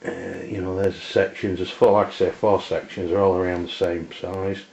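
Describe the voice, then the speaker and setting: A man with an English accent and a very low voice talks through a hands-on review. His delivery is flat and unscripted, with plain wording and frequent hesitations. The sound is dull and lo-fi, as from a handheld camera's microphone. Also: vocal fry. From England. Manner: hesitant, halting, plain-spoken. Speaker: amateur radio reviewer. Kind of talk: unscripted product review demonstration.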